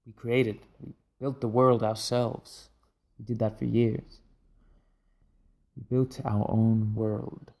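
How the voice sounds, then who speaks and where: A man playing a haunted, intense leader of dream thieves in an audio drama, speaking in a brooding, deliberate way. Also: mid-range voice, little pitch movement, a little mellow. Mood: haunted, regretful.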